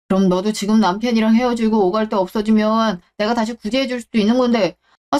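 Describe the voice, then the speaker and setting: A woman's voice: a little high, darkish tone, lightly acted. A woman voicing an arrogant, shameless middle-aged ex-husband in a radio drama, sneering and blaming as he talks. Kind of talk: Korean radio drama reading.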